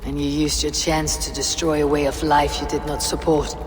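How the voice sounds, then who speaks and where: Actress as grave, low-voiced warrior woman, reverberant. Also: little pitch movement, soft background music, close to an everyday voice. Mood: accusing. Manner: terse, grave, deliberate. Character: stern, loyal warrior woman, probing and resolute. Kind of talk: dramatic video game acting. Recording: reverberant hall, faint background noise.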